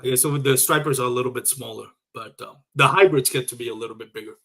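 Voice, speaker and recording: A man's voice: medium pitch, fast, fairly bright tone. A man from Puerto Rico hosting a casual live interview show, chatting in a conversational way. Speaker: show host.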